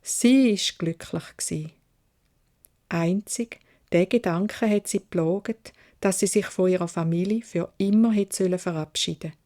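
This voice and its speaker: A woman's voice: on the low side, measured pace, mellow. A woman with a Swiss German accent narrating a fairy tale for children in dialect, as a storyteller.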